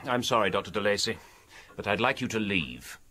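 An actor playing a firm, sceptical and protective gentleman in a radio drama, brisk and formal. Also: lowish voice, expressive, a little hiss or hum. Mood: stern, sceptical.

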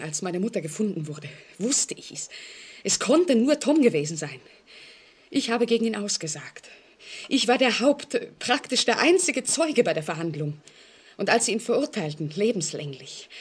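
Husky, frightened woman in a radio thriller. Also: mid-range voice. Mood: terrified, urgent. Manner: anxious, dramatic, halting, sometimes dropping to a whisper. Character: frightened single woman telling police of a stalking convict. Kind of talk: 1940s German radio play acting.

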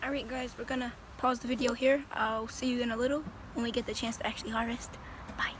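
A woman's voice: fairly high pitch, steady tone, fast. A woman giving casual vlog narration from behind a handheld phone outdoors. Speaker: person filming the vlog. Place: outdoors on grassy property. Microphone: handheld phone, behind the camera. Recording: very noisy outdoor phone video.

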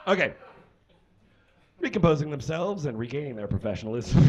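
A man's voice: fairly high pitch, big pitch swings, normal speed, a little mellow, highs cut off, a little hiss or hum. A young man reading a story aloud on a small stage in a theatrical, mock-serious comic style with ad-libbed asides, picked up by a camera a few metres away. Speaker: live comedy reader. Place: small indoor stage with curtains.

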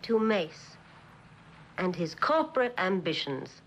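A woman's voice: lowish voice, very animated, slow pace, dull audio, a little hiss or hum, full character voice. An actress playing the strained, concerned wife of a troubled man in a television drama, speaking in short, direct lines. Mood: cool, strained. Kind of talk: 1960s television drama acting.

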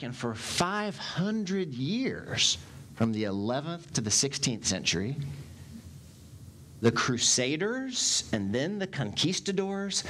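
A man in his forties, a pastor preaching a sermon in a church sanctuary on a headset mic, animated and gesturing as he speaks. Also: mid-range voice, a little hiss or hum.

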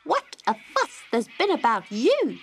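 A woman voicing a small, chattering male wagtail bird with a bright, high voice. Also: wildly swooping pitch, measured pace, a little hiss or hum, heavily acted. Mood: chatty.

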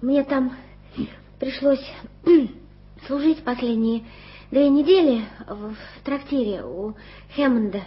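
A woman's voice: high voice, slow, pauses often. A woman voicing a timid, eager street urchin girl in a radio play, talking in a stumbling, breathless rush. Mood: eager, flustered. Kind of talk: 1980s radio play acting. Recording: dull lo-fi old radio recording.

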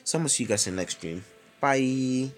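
A young British man with a lively voice commentating his mobile game live, chatty with sudden excited outbursts, saying he is ill. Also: medium pitch, brisk, faint music behind. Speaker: streamer.

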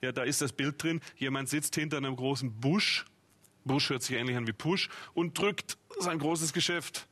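A man's voice: mid-range voice, normal speed, fairly bright tone. A man in his forties from Swabia, a guest author explaining in an informal, eager way and piling up phrases. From Swabia, Germany. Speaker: memory trainer and author, guest. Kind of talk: animated explanatory interview talk. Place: TV studio at a table.

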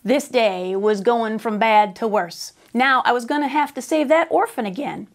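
A middle-aged American woman narrates a children's storybook like a teacher at story time. Her voice is on the high side, and she leans into a drawling, folksy Western dialect with plenty of expression. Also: moderate pace, a little hiss or hum. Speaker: teacher reading a storybook aloud. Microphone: lapel.

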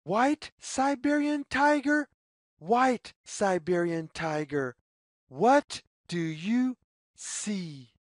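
A woman reading a children's picture book aloud as storyteller, with deliberate, sing-song phrasing. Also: medium pitch, animated, no echo, studio quality.

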